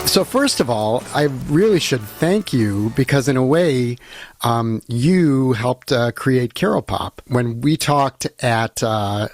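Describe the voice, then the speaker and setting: A man's voice: mid-range voice, lively intonation, slow pace, faint music behind. A man hosting a podcast, chatting casually as he interviews a guest in a conversational style.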